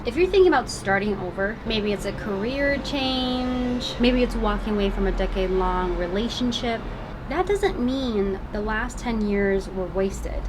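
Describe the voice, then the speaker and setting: A woman in her thirties speaks in a slightly high voice, emphatic and encouraging as she delivers a motivational talk. She calls her listeners honey and y'all. Traffic and outdoor noise are clearly heard behind her. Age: 30s. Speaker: vlogger.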